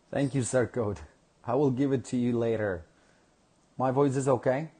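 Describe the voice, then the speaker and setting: Husky man teaching English slowly. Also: medium pitch, dark tone. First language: Kurdish. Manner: slow, repeats phrases for learners. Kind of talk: live online English lesson.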